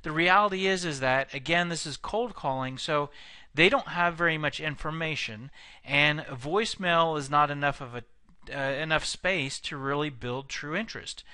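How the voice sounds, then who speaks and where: Male sales trainer, conversational lecture delivery, crisp, dry close recording. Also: a little high, normal speed, bright, forceful tone, no echo. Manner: conversational, frequent fillers and restarts. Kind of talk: instructional video lecture.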